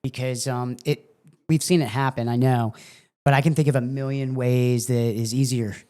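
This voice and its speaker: A man hosting a podcast who questions a guest in a relaxed, conversational way, with frequent fillers. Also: mid-range voice, moderate pace. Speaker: podcast host. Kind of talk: casual interview.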